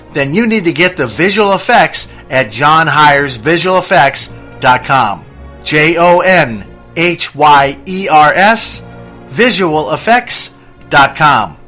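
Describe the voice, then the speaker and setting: A man's voice: on the high side, bright, forceful tone. A man reading a scripted radio advertisement as a commercial announcer.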